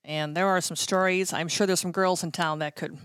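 A middle-aged American woman reminiscing casually in a chatty, halting way that often trails off. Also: lowish voice, steady tone, fairly bright tone, clean tone, dry recording, clean studio sound. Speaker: guest recounting concert backstage stories.

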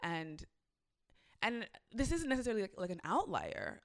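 A young woman who DJs, chatting casually and telling a story with lively shifts, acting out what people said. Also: mid-range voice, normal speed. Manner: animated storytelling, acts out quotes. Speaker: club DJ. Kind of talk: casual conversation.

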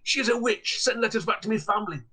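A husky-voiced man, playing a rough, injured peddler who shouts wild accusations. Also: a little high, lively intonation, brisk, full character voice. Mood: frantic. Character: angry injured male peddler, middle-aged, gruff.

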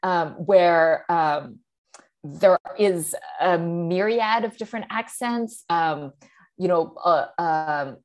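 A woman's voice: fairly low pitch, slow, a little mellow. Thoughtful woman from Singapore, hesitant. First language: English. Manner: thoughtful, many ums and restarts, laughs easily. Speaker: guest author. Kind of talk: podcast interview.

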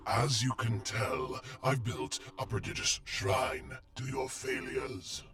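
A man playing an ancient undead alien machine warrior in a sci-fi audio drama, menacing, contemptuous and coldly mocking, speaking slowly and deliberately. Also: medium pitch, over music. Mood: dry, mocking.

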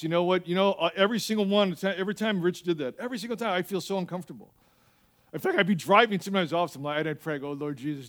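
A man preaching as a pastor in an animated, conversational way, repeating phrases for emphasis and telling humorous personal stories. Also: fairly high pitch. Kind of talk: sermon with personal anecdotes and acted-out dialogue.

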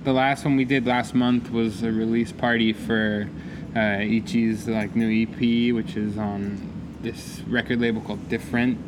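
A man chatting as a guest in a bar, laid-back and halting, full of fillers, about running club nights. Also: lowish voice, little pitch movement. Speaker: guest, club event organizer. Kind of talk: casual drinking chat. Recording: noisy bar ambience.

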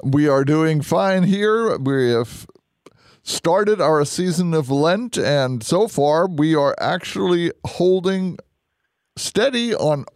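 A man speaking as a deacon and radio host, conversational and earnest, explaining things deliberately. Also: on the high side, expressive, darkish tone, no echo, studio quality. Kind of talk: conversational religious radio hosting.

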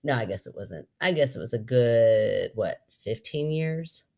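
A woman in her forties co-hosting a podcast in casual chat, an animated storyteller who laughs often. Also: rumbling low, measured pace. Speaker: podcast co-host.

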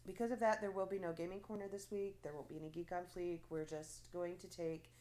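A woman's voice: fairly low pitch, steady tone, brisk, dark tone. A woman co-hosting a casual podcast, sounding stuffy from allergies, chatty with frequent fillers and little laughs. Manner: chatty, many fillers and restarts, laughs often. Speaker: podcast co-host.

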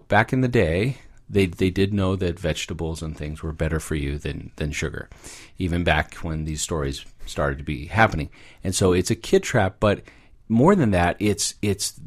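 Low-pitched man explaining stories conversationally. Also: lively intonation, moderate pace. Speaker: guest expert, psychology professor. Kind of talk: conversational explanation of fairy tales.

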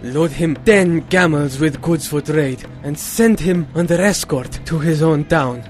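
A man's voice: fairly high pitch, a little slow, soft background music, close to an everyday voice. A man playing a magnanimous, commanding king in an audio drama, with regal, declamatory delivery.

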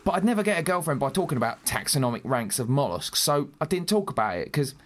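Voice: mid-range voice, very animated, quick pace, natural voice. An actor playing a naive, nervous smuggler, earnest and rambling, in an audio drama. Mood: wistful, earnest. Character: nerdy, nervous, naive smuggler, keen on birds and shells.

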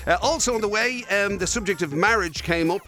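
An Irish man co-hosting a radio show in casual, comic banter, excitable and given to exclaiming. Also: sits high, brisk, soft background music. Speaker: radio show and podcast co-host.

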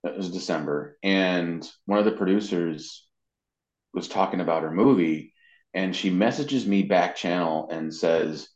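A man's voice: low voice, measured pace, a little mellow. A middle-aged man chatting casually as an interviewee, telling anecdotes in a conversational storytelling way. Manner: chatty storyteller, acts out quoted dialogue. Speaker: interviewee, amateur voice actor. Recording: dull, roomy, echoey sound.

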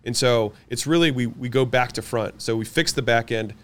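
A man whose first language is French, answering interview questions about running companies, confident and quick, listing his points one after another. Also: mid-range voice, a bit bright. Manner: fast, confident, lists points in quick runs. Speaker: guest, business owner.

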